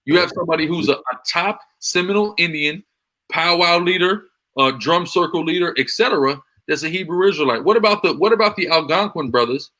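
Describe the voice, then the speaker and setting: A man's voice: a little high, a little fast, bright, forceful tone, poor audio. A man hosting a live reaction stream, talking in a heated, combative way and repeating himself as he argues. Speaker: livestream host reacting to videos.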